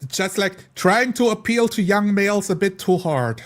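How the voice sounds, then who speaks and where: A man chatting casually among friends in an animated, joking, sweary way. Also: sits high, normal speed. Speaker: tabletop role-player. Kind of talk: casual group chat.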